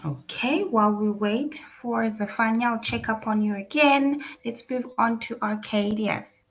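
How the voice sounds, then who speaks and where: A woman with a gravelly, fry-tinged voice leads an online English class over a video call. She is encouraging and gives careful, detailed feedback to her students. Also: fairly high pitch, a little slow, rough recording. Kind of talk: live online class teaching.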